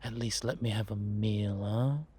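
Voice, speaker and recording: A man acts out a scheming male vampire spy in an audio roleplay. His voice is fairly low and breathy-rough, and he takes his time over every phrase. He muses theatrically, with a sly, predatory playfulness, as if confiding in a companion. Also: steady tone, dark tone, exaggerated voice. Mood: savoring, playful. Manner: theatrical, savoring, drawn-out musing with pauses. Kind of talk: scripted audio roleplay monologue addressed to a companion.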